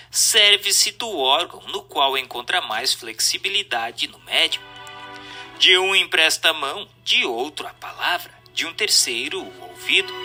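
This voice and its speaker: A man with a Brazilian accent narrates a written text in a formal, instructive manner. His voice is very bright and sharp, set a little high, with animated rises and falls. The delivery is that of a scripted audiobook. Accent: Brazilian Portuguese. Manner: didactic, formal. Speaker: narrator reading a book aloud.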